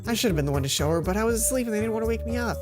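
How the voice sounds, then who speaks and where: A woman's voice: a little high, expressive, rapid-fire, lightly acted. Woman doing a haughty, huffy schoolgirl prefect. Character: haughty, suspicious female class representative. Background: game music.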